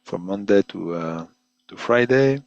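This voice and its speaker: A man presenting an unscripted webinar software demonstration in halting, hesitant phrases, often repeating words as he goes. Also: fairly low pitch, expressive, vocal fry, no echo, dull audio. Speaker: product presenter giving a software demo.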